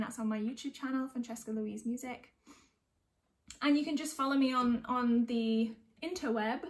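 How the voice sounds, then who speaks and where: Chatty young-sounding woman, informal. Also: on the high side. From North West of England. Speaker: singer-songwriter. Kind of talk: casual thanks and sign-off to online viewers. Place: at home.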